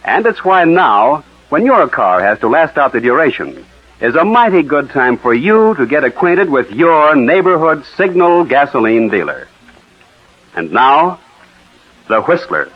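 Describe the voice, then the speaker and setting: A man's voice: fairly high pitch, very animated, a little slow, husky. An American man announcing for a sponsor on 1940s radio, with a polished, emphatic sales delivery. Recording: dull, muffled 1940s radio recording.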